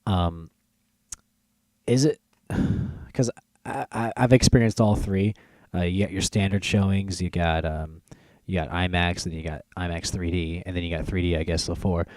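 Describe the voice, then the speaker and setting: Male podcast host, low pitch, dry recording, informal and halting delivery, casual interviewing. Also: fairly even intonation, darkish tone, no room sound.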